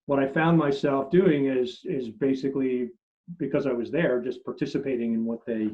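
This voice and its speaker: A middle-aged man whose first language is German, answering interview questions as a marketing expert and telling stories with many restarts, trailing low at the end of phrases. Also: moderate pace. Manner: animated storyteller, many restarts, drops low at phrase ends. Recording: muffled lo-fi audio.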